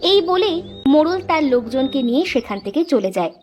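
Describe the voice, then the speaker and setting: Woman narrator, storybook narration, fairly high voice, faint music under. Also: very animated, normal speed, a little mellow.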